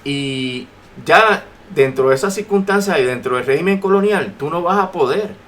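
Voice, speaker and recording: A man's voice: on the high side, expressive, normal speed, a bit bright. A man with a Puerto Rican accent giving emphatic political commentary as a guest on talk radio, repeating phrases for weight. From Puerto Rico. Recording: noisy AM radio talk recording.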